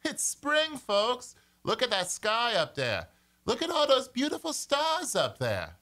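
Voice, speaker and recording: Guy doing a beat cop in an old radio comedy, bossy but dreamy, bright voice, big pitch swings. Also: huge pitch swings, a little slow, heavily acted. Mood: starry-eyed. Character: blustery policeman turned starry-eyed, in a radio comedy.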